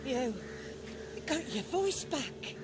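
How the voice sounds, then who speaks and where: A woman's voice: sits high, very animated, extremely slow, heavy background noise, full character voice. A woman playing a stern, protective old village grandmother in a fantasy video game, brooding and a little eerie. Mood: surprised. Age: older. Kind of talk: video game character acting.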